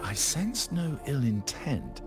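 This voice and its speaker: A man's voice: mid-range voice, wildly swooping pitch, slow pace, music in the background. A man voicing a dutiful, devout fantasy officer in a video game, formal, reverent and earnest in manner. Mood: composed, reverent.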